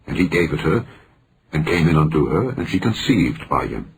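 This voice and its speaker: A man reading scripture aloud as a scripted narration, solemn and deliberate, with frequent pauses between phrases. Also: extremely low pitch, big pitch swings, noisy background. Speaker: scripture reader.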